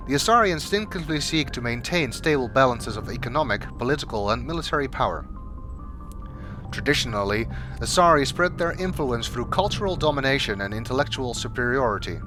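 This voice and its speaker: A man reads scripted reference entries aloud as a narrator, in a measured, expository manner. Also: mid-range voice. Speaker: video maker narrating game codex entries. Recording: music behind the voice. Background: clear background music.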